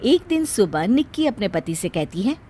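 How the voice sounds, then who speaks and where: A woman's voice: medium pitch, very animated, normal speed, mellow, a little hiss or hum. A woman storyteller reading a scripted family tale as narration.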